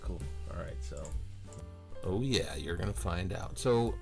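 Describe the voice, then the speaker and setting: A man's voice: deep voice, expressive, unhurried, creaky, music in the background. A man hosting a carving class video, interviewing his student in a joking, dry, teasing way. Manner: teasing, dry humor, chuckles often. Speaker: carving instructor and channel host.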